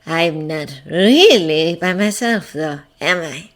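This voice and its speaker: A woman's voice: on the low side, lively intonation, a little slow, fairly bright tone, heavily acted. A woman voicing a smug, maniacal male villain with a cold, grating tone in a narrated story. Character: smug, maniacal burned man, sadistic villain.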